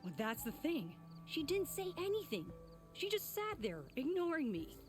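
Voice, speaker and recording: A woman's voice: sits high, sing-song, moderate pace. An actress playing a worried, guilt-ridden female tinkerer in a video game, speaking in an earnest, wry, conversational way. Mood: troubled, exasperated. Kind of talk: video game character acting. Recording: faint music behind the voice.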